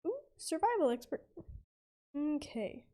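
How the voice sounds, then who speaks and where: A woman's voice: sits high, very animated, a little slow, dark tone. A woman streamer casually commenting on a game and chatting back at its characters in a folksy way. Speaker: gaming streamer. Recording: game music faintly behind.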